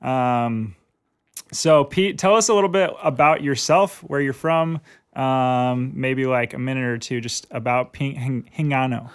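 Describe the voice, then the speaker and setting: A man's voice: mid-range voice, unhurried, clean tone. A man hosting a church podcast, chatting casually with friendly jokes and many ums. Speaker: podcast host.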